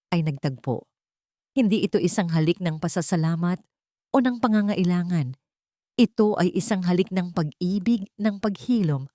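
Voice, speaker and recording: Low-voiced woman narrating, very dry sound. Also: mellow, no echo. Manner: deliberate, sentimental storytelling with dramatic pauses. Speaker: story narrator. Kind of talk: scripted dramatic romance narration.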